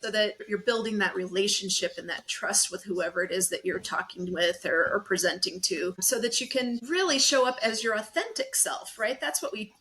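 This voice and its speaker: A woman's voice: mid-range voice, moderate pace, hardly any pauses, pushed and bright, poor audio. A woman speaking as a leadership coach in a conversational interview, explaining things as a guest.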